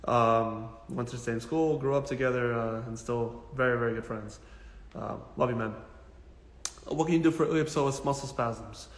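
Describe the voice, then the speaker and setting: A man giving casual, informal health advice as a physical therapist answering questions, in a blunt, jokey, animated way with emphatic repetition. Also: medium pitch, moderate pace. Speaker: physical therapist hosting a live Q&A. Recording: echoey, reverberant room.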